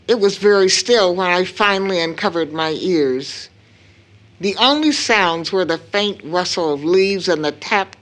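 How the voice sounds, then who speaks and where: A woman playing a grieving, proud widowed mother in an audio drama, telling her story solemnly with weighted pauses. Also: on the low side.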